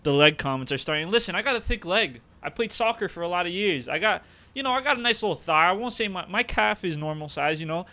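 Young guy cohosting a podcast, bright voice, kinda high, swears a lot, just chatting at a table mic. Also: lively intonation, quick pace. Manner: joking, swears freely, many fillers. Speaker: podcast co-host. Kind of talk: casual podcast banter. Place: small room at a table.

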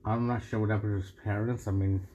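A man's voice: fairly low pitch, little pitch movement, fast talker, warm tone, lo-fi sound, slight background noise. Rambling man from Mexico, solo podcast. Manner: rambling, many restarts and asides. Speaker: podcast host. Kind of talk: casual solo podcast monologue.

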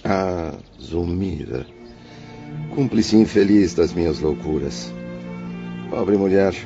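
A man's voice: low-pitched, takes it slowly, mellow. An actor playing a remorseful male spirit, a grieving father speaking emotionally in a radio drama. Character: remorseful male spirit, a father mourning his past sins. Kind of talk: Portuguese-language radio drama acting. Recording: dull lo-fi radio drama, music behind.

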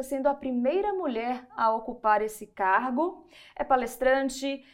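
Woman podcast host from bahia, higher voice, friendly and measured. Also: a little mellow. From Bahia, Brazil. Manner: friendly, measured, reads introductions. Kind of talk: hosting an interview.

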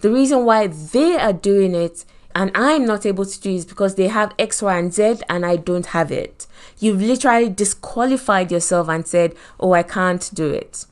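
Nigerian woman, host of a faith podcast, earnest motivational delivery, mid-pitched. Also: moderate pace. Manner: earnest, preacherly, acts out quoted voices.

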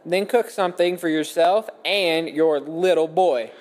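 A man's voice: fairly high pitch, measured pace, crowd noise. A man reading a Bible story aloud from a script as a dramatic narrative. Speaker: scripture reader. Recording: echoey hall with long reverb.

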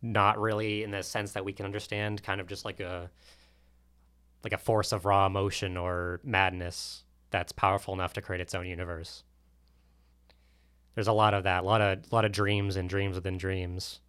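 A man's voice: deep voice, level tone, moderate pace, a bit bright, smooth. A man on a podcast panel, explaining things in casual conversation.

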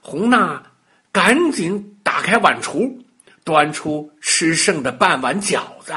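A man telling a children's bedtime story in a dramatic, expressive way, with exclamations and sound words. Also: a little high, slow, slightly rough.

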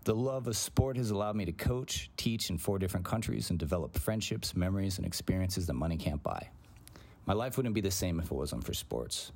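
Greek-born man, forties, low pitch, steady reflective reader. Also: a little fast, vocal fry, husky. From Greece. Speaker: coach and teacher. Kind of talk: read personal essay.

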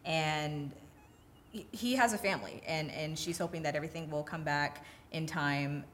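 A woman's voice: low voice, normal speed, a bit bright, a little hiss or hum. A woman co-hosting a casual film discussion, explaining things and putting questions to the group. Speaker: podcast co-host.

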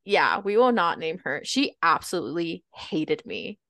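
A woman's voice: fairly low pitch, very animated, a little slow, fairly bright tone, no echo. A young woman from Baltimore co-hosting a podcast, telling stories in a chatty, upbeat way. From Baltimore, Maryland. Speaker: podcast co-host. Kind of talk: casual chat.

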